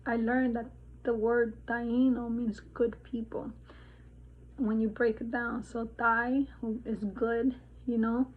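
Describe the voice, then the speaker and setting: A woman's voice: a little high, little pitch movement, takes it slowly. Rambling woman in her forties, tinny sound. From Dominican Republic. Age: 40s. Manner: rambling, many fillers and restarts, laughs often. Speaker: vlogger. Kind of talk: casual spiritual vlog chat.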